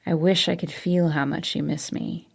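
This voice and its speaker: A woman reading a story aloud, voicing a tender young man who murmurs low and intimately. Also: steady tone, moderate pace, gravelly, darkish tone, slightly rough, heavily acted.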